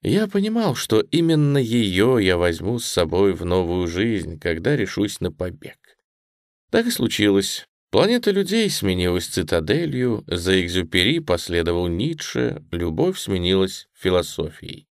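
A man narrating a first-person memoir audiobook in a reflective, expressive way. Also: low-pitched, unhurried, no room sound, studio quality.

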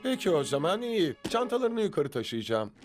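A man's voice: high-pitched, big pitch swings, a little fast, faint music behind. A man voicing a mildly exasperated family man in a children's cartoon dub. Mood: uneasy.

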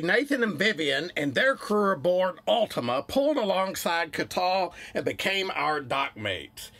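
Guy doing voice-over for a sailing video, high lively voice with some gravel. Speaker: narrator. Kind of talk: scripted voice-over narration.

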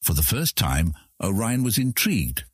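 A man with a husky voice reading scripted fantasy narration as an audiobook narrator. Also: on the low side, very animated, moderate pace, no echo, clean studio sound.

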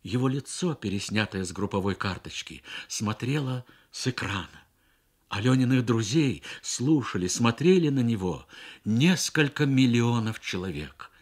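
A man reads a literary short story for radio in measured, expressive phrases with frequent pauses. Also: mid-range voice. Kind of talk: literary narration, Soviet radio story reading.

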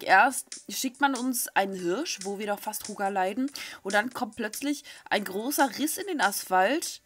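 A woman's voice: a little high, fairly bright tone. A middle-aged German woman giving chatty, candid, coarse-mouthed commentary on a game and reading its text aloud into a desk microphone. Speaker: gaming video host. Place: indoors, small home recording setup. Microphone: desk microphone close to the mouth. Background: game music.

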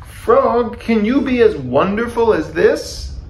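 A man voicing an excitable, emotional male toad in a children's storybook, crying out with emphasis. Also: sits high, takes it slowly, pitched above the performer's own voice, heavily acted. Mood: showing off. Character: excitable, showy male toad who grows anxious and lonely. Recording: echoey room, faint noise.

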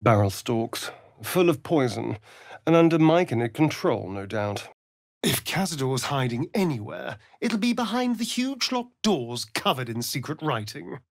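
A man's voice: mid-range voice, big pitch swings, unhurried, gravelly, no room sound, clean studio sound. A male actor voicing a sly, vain vampire rogue in a fantasy video game, with an arch, flamboyant, sardonic drawl. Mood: wry, curious.

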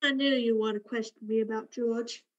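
A woman's voice: a little high, fairly even intonation, normal speed, muffled recording, natural voice. A woman playing a cold, flippant, bitter young woman in a radio play, with a blunt, sardonic delivery.

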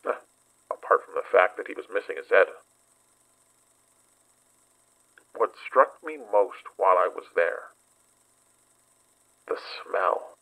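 Weary investigator, horror podcast drama. Deepish, husky, halting delivery, haunted by what he recounts. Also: fairly bright tone, full character voice, poor audio. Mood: grave. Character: weary male investigator recounting a dangerous excursion. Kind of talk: horror audio drama acting.

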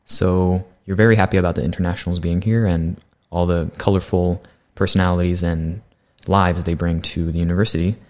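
Low-voiced young man hosting politely. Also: steady tone, a little fast, few pauses, darkish tone. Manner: polite, formal, reads prepared questions. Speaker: podcast host. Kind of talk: scripted interview hosting. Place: small bright studio room. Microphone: studio condenser mic on boom arm.

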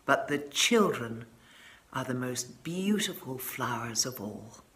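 Woman acting a slow, grandly solemn giant. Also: extremely low pitch, expressive, a bit bright, voice pushed down, exaggerated voice. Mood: tender. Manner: archaic, declaiming, drawn-out words. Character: male giant, big-hearted, passionate, grandly solemn.

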